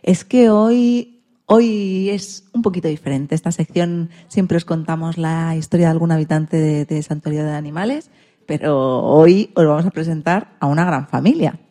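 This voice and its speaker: A woman hosts a radio show in a conversational way. Her voice sits fairly low and has a dark, mellow tone. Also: moderate pace.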